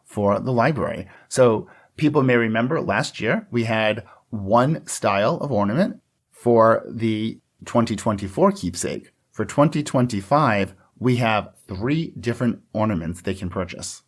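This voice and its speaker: A man with a fairly low voice co-hosts a casual podcast. He talks in a chatty, explanatory manner and readily agrees with his conversation partner. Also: lively intonation, measured pace, husky. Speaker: podcast co-host. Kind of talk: casual scripted-outline podcast chat.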